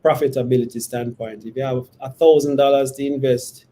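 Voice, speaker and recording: A man, speaking as a company executive answering investor questions in a measured, hesitant, careful way. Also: medium pitch. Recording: steady background noise.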